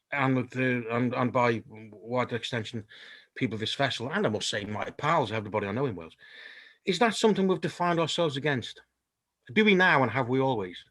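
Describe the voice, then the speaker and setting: A man's voice: mid-range voice, a bit bright. Hesitant male panel moderator. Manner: halting, many ums and restarts. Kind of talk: panel discussion, hesitant and thoughtful.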